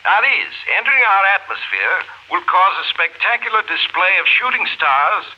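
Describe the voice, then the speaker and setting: Casting: stiff, solemn official, notably high voice, careful delivery, muffled vintage broadcast. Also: male voice, big pitch swings, moderate pace, breathy edge, exaggerated voice. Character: formal, measured male government science official. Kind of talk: 1950s radio drama address. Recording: muffled 1950s radio broadcast.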